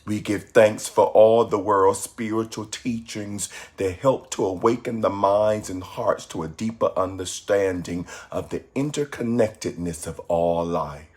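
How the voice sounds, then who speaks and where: Man, deep voice, guided meditation, very slow pacing, calm, soothing, measured pauses. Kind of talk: guided meditation, reading a script.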